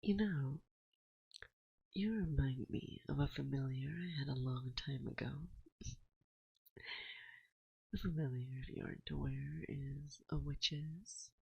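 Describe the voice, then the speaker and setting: A woman playing a playful, dominant forest witch in an ASMR roleplay, speaking softly and breathily close to a binaural mic, often slipping into a whisper, teasing and coaxing. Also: very deep voice, vocal fry, slightly rough, no room sound, highs cut off, exaggerated voice. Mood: playful, musing. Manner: slow, teasing, coaxing, with musing pauses. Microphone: close binaural microphone.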